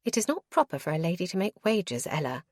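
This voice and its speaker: A woman's voice: on the low side, sing-song, fast, a little mellow, no echo, clean studio sound. A woman voicing an earnest young noble wife who speaks in an eager, insistent way.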